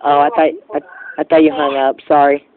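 A man's voice: fairly high pitch, little pitch movement, brisk. A man making a casual prank phone call in a pushy, insistent, teasing way, on a muffled phone recording. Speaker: prank caller.

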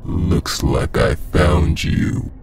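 A man voicing a sadistic demon creature in a horror audio story, savoring words in a husky, menacing growl. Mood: gloating menace. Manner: slow, savoring, dark.

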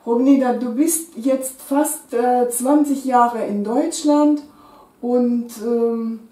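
A middle-aged woman interviewer asking measured questions in a living room. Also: on the high side, fairly even intonation, dark tone. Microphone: far camera. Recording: echoey room from a distance.

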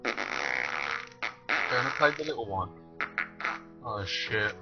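A woman's voice: extremely low pitch, sing-song, extremely slow, gravelly. A woman giving casual, offhand, sweary improvised commentary as an amateur fandub performer. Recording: dull lo-fi sound under loud music.

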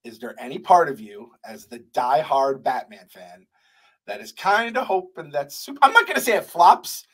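A young adult man hosting a podcast, talking in animated, playful, swearing banter at a studio mic. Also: fairly high pitch, moderate pace, slightly rough, low-quality recording. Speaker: podcast host. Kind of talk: casual chat. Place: studio room with poster wall. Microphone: large studio mic with headphones.

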